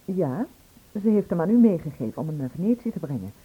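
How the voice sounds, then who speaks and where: A woman's voice: fairly low pitch, sing-song, fast talker, slightly rough, full character voice. An actress playing an anxious woman in a radio play, speaking in a hesitant, confiding way. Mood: confiding, uneasy. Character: anxious, confiding woman, shaken witness to a murder. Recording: tinny, narrow-band old radio recording.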